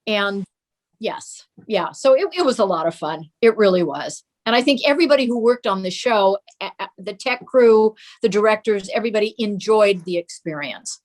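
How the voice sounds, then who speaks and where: A woman's voice: medium pitch, moderate pace. An older woman reminiscing casually as an interview guest.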